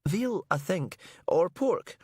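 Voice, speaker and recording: A man's voice: high-pitched, lively intonation, takes it slowly, darkish tone, slightly rough, no room sound, clean studio sound, pitched above the performer's own voice, heavily acted. A man voicing a weary, haunted captive woman with a subdued, matter-of-fact manner in an audiobook. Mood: calm. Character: weary captive woman, calm, haunted, talks to dead husband.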